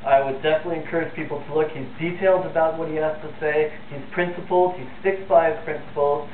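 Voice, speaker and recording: Thin, noisy and echoey audio carries a man hosting a live call-in talk show. His voice sits fairly high, and he talks off the cuff with earnest, opinionated conviction. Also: steady tone, quick pace. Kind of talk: unscripted live phone-in talk. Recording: phone-like, roomy, distant, noisy TV audio.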